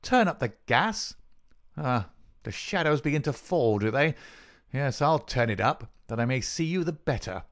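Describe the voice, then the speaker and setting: A man voicing a cruel, gloating murderer in an audiobook, sneering and mock-solicitous in a dry rasp. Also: medium pitch, big pitch swings, moderate pace, voice pushed up, close to an everyday voice. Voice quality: dry, rasping. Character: gloating, cruel murderer taunting his dying victim. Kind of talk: dramatic audiobook character reading.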